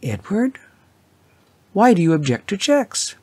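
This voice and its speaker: A man voicing an excitable, worried older wife in an audiobook, questioning and exclaiming with tender concern. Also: measured pace, husky, no room sound, exaggerated voice. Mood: uneasy. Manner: higher-pitched, questioning, exclaiming.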